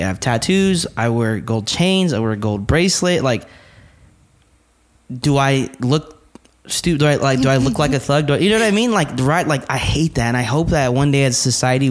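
A man's voice: mid-range voice, animated, normal speed. A young man with a slight Mexican accent, co-hosting a casual podcast and ranting bluntly, swearing freely. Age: young adult. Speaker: podcast co-host.

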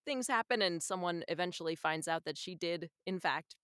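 A woman's voice: fairly low pitch, moderate pace, a bit bright, smooth, no echo. Chatty woman guest, dry sound. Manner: chatty, effusive. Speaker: audiobook narrator, interview guest. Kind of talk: casual interview chat.

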